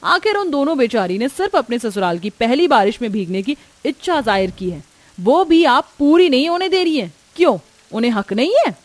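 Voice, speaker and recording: A young modern daughter-in-law from a moral story, performed by a woman narrator. She is brash, playful and outspoken, with a lively high voice. The recording is dry. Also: moderate pace, no echo. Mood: indignant.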